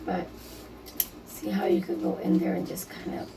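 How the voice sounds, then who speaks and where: A woman with a slightly hoarse, raspy voice, casually narrating a painting project in a chatty, apologetic way with lots of fillers. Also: normal speed, recorded from a distance, noisy background. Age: adult, a mother. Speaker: DIY vlogger.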